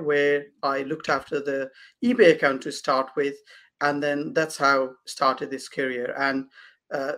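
Guy from nepal answering questions, kinda high voice, lots of uhs and restarts. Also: fairly bright tone. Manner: hesitant, many fillers and restarts. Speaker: guest, e-commerce consultant. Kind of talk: interview answers.

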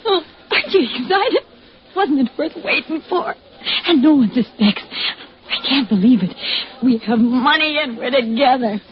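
An actress playing an elated, adoring wife in an old-time radio mystery, gushing with excitement. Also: female voice, high-pitched, normal speed. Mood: joyful, excited. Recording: muffled, noisy old radio recording.

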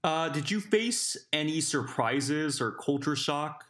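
A man's voice: on the high side, lively intonation, slow pace, fairly bright tone. A man hosting an interview, asking questions and responding with frequent short affirmations. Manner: attentive, frequent backchannel. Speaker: podcast host.

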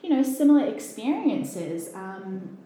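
A woman from New Zealand chats as a podcast guest, restarting her sentences and filling gaps as she goes. Her voice sits at a middling pitch and rings in a very echoey room, over a faint hiss. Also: sing-song, unhurried, mellow. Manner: chatty, lots of fillers and restarts. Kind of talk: casual conversation.

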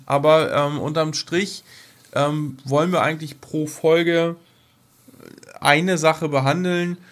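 Man, middle-aged, fairly high voice, podcast co-host, casual chat, explanatory. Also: fairly even intonation, slow, a bit bright.

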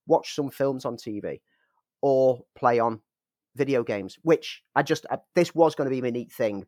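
A man's voice: mid-range voice, dry recording, studio quality. A man co-hosting a podcast, rambling in a self-mocking way and chuckling, with a lingering cough. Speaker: podcast co-host. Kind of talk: casual podcast chat.

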